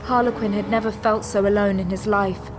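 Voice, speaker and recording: Female narrator, medium pitch, dramatic measured storytelling, scripted audio drama, prominent music underneath. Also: steady tone. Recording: music bed behind narration.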